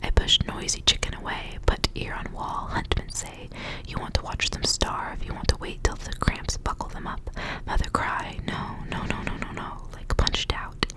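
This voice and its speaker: A woman reading a story aloud for ASMR in a breathy, unvoiced whisper, soft and hushed, in short phrases. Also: normal speed. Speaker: ASMR reader.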